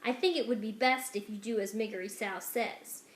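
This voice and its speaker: A villainous male rat from a children's storybook, played by a woman, speaks with deliberate, formal courtesy that barely covers menace. He commands and condescends. The room around the voice rings with echo. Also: medium pitch, steady tone, close to an everyday voice. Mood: smug menace. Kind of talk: dramatic children's storybook reading. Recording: echoey room.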